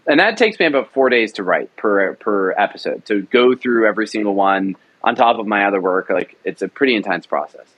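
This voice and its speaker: A man's voice: on the low side, lively intonation, brisk, hardly any pauses, fairly bright tone, muffled recording. A young man, a writer and director, talking about his audio drama production in an enthusiastic, rambling way with many fillers. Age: young adult. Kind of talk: casual interview talk.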